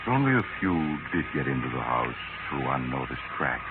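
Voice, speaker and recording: An actor playing an ominous storyteller, narrating formally and deliberately in 1940s radio suspense style. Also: male voice, rumbling low, very animated, very noisy. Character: ominous male storyteller of eerie tales. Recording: tinny 1940s radio, loud music.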